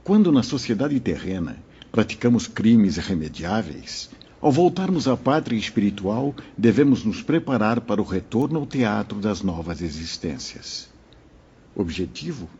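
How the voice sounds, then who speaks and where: A man voicing a wise, husky old spirit mentor. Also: lowish voice, normal speed, muffled recording, exaggerated voice. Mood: didactic. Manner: calm, paternal teaching. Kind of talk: audio drama acting.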